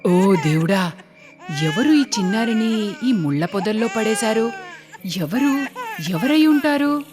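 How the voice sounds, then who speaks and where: A woman voicing a tender, compassionate village mother in a cartoon, gentle and emotional. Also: on the high side, animated, slow, over music. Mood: distressed.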